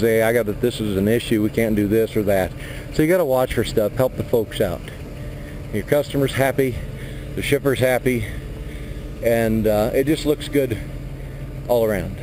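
A middle-aged man talks in a relaxed, plain-spoken way as he walks around his truck outdoors. His voice sits at an ordinary pitch for a man. A handheld action camera picks it up with clear wind and yard noise behind him. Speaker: truck driver. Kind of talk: casual vlog walkthrough. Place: outdoor industrial yard beside a flatbed trailer. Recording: noisy outdoor camera audio.

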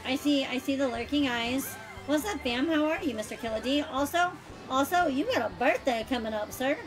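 A woman's voice: high-pitched, brisk, over music, low-quality recording. A woman in her thirties chatting casually with friends while streaming a game, filling her talk with "like". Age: 30s. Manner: chatty, fills speech with "like". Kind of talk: casual chat while gaming.

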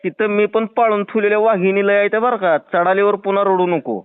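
A high-voiced man with a rural Maharashtrian Marathi accent argues on a tinny phone line. His voice is clear, and he needles and threatens in casual, provocative talk. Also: steady tone, a little fast, no room sound. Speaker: prank caller. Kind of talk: informal argumentative phone call. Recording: tinny narrowband phone call recording.

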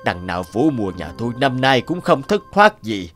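A man voicing a weary, honest old farmer in an animated folktale. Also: medium pitch, normal speed, faint music behind. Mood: weary, dismayed. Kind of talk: Vietnamese animated folktale dialogue.

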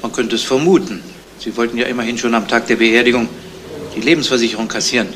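Male actor as dry police detective, politely persistent, mid-pitched, retro radio crime play with faint noise. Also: steady tone, fast, fairly bright tone, lightly acted. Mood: probing. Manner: matter-of-fact, probing questions. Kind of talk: 1970s German radio crime drama acting.

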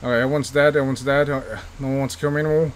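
A man's voice: medium pitch, little pitch movement, rapid-fire, a little hiss or hum. Male let's-play host, casual and self-mocking, repeats phrases under pressure. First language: not English, by his own joke. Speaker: gaming YouTuber.

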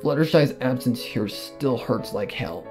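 A narrator reading a fan fiction story in the first person, with solemn, dramatic delivery and pauses. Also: mid-range voice, lively intonation, darkish tone. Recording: music under the voice. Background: background music.

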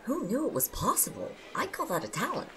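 Bright, very animated, teasing young housemate, acted out. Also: medium pitch, brisk, a faint crowd. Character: teasing, practical, scatterbrained young housemate Salem. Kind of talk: casual audio drama dialogue.

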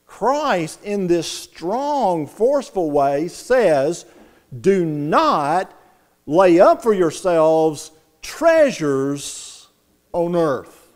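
Preaching man. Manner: earnest preaching, swelling louder and higher on key points. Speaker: pastor. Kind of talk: sermon. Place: church sanctuary.

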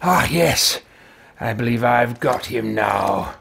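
A man's voice: medium pitch, lively intonation, takes it slowly, gravelly, fairly bright tone, slight background noise, exaggerated voice. A man roleplaying an elderly, earnest wizard in a video game, talking to himself in formal, old-fashioned, theatrical language. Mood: pensive, muttering. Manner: formal, archaic, theatrical self-talk.

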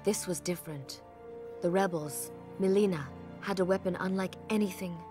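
An actress playing a solemn, dignified refugee villager from another realm in a video game, speaking formally and gravely. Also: female voice, on the low side, normal speed, faint music behind, natural voice. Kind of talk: dramatic video game cutscene acting.

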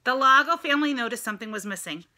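Bright-voiced American woman reading a picture book. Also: fairly high pitch, quick pace, a little hiss or hum. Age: middle-aged. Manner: expressive, exaggerated reading with questions to listeners. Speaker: storybook reader, teacher reading to children. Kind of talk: read-aloud of a picture book with chatty asides to young listeners. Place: indoors at home, room with dresser and ceiling fan. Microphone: phone or tablet at arm's length.

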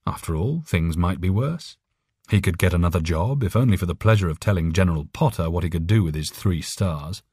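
A man's voice: low-pitched, brisk, darkish tone, slightly rough, dry recording, studio quality. A man reading a short story aloud as an audiobook narrator, in scripted narration.

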